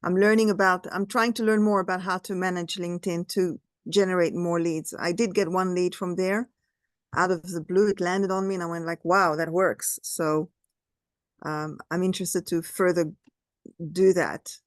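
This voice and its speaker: A woman's voice: lowish voice, fairly even intonation, moderate pace, dry recording. A middle-aged woman with a French accent, a realtor, chatting candidly on a video call and searching for words now and then. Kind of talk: casual meeting conversation.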